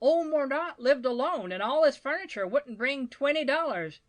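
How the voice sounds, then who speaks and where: A woman plays a storybook townsman calling from a crowd. The pitch is lifted and lively. The manner is brusque, dismissive and matter-of-fact. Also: normal speed. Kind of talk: dramatized storybook reading.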